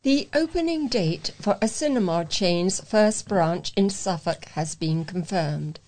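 A woman's voice: mid-range voice, animated, a little slow, darkish tone, rough recording. A British woman reading local news aloud as a volunteer newsreader.

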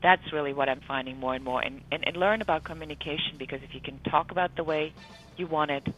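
A woman's voice: low-pitched, quick pace, a bit bright, slight background noise, low-quality recording. A woman speaking earnestly and emphatically as a guest in a conversational radio interview.

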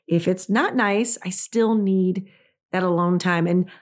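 A woman's voice: lowish voice, unhurried. A woman, hosting her own podcast in a casual, chatty way, laughing often. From Midwest United States. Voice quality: raspy. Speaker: podcast host. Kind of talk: casual solo podcast chat.

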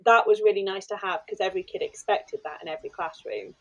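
A woman's voice: mid-range voice, fast talker, dull audio. A woman, a podcast host and former maths teacher, chatting eagerly and filling her talk with "kind of" and "like". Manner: chatty and enthusiastic, with many fillers like "kind of" and "like". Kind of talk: casual chat.

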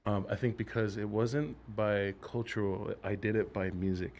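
A man from Samoa, a band member guesting on a podcast, speaking in a fairly low voice. He answers thoughtfully and soft-spoken, pausing and restarting as he gathers his thoughts. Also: steady tone. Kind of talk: casual interview answer.

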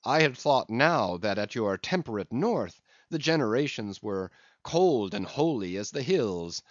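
A man voicing an impetuous, excitable gentleman, in a dull, dry recording. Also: mid-range voice, unhurried, dry recording.

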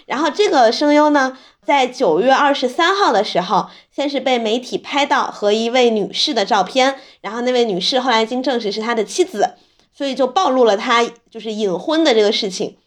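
A woman's voice: on the high side, animated, a little fast. A woman hosting a podcast, explaining things in a casual, chatty storytelling way. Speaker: podcast host.

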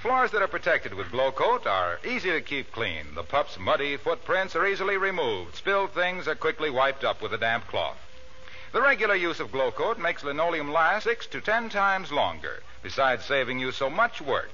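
A man's voice: fairly high pitch, big pitch swings, normal speed, pushed and bright. A man with an American accent announcing in polished, salesmanlike 1940s radio style. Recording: dull, noisy vintage radio recording.

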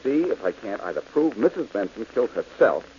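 An actor playing a cool, wisecracking reformed ex-crook in a 1940s radio drama, from a dull old broadcast. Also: male voice, on the low side, sing-song, brisk, darkish tone, slight background noise. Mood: wry. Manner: dry wisecracking. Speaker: lead detective character.

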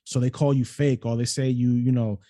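A middle-aged man hosting a casual interview show, speaking quickly and with emphatic, animated energy. His voice is mellow and dark. He leans into points with conviction. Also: medium pitch. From New York City and Cleveland, Ohio. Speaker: podcast host.

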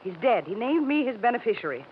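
A woman's voice: a little high, sing-song, quick pace. An actress playing an impatient, bad-mannered woman in her thirties, brusque and demanding, in a 1950s radio drama. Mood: angry, impatient. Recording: muffled, hissy 1950s radio recording.

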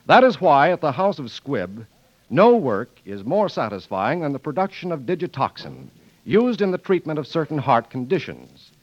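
A man's voice: a little high, big pitch swings, normal speed. A man announcing from a script in a polished, formal 1940s radio style. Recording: muffled 1940s radio broadcast, faint music.

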